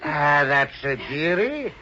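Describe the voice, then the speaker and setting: High-voiced, roguish sweet-talking deserter. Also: male voice, big pitch swings, slow, background noise. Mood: jolly, flirtatious. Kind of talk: radio drama acting. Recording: muffled old radio recording.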